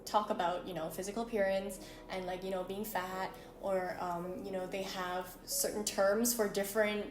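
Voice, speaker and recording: A woman's voice: mid-range voice, level tone, normal speed, echoey room, slight background noise. A woman chatting casually and thoughtfully as a co-host, with many fillers. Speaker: co-host of a beauty channel talk. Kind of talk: casual chat.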